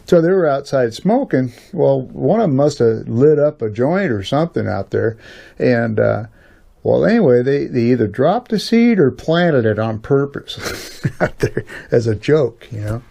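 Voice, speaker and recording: An older American man telling a personal story casually in a rambling, halting, chuckling way, as host of a storytelling channel. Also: medium pitch, very animated, gravelly, warm tone.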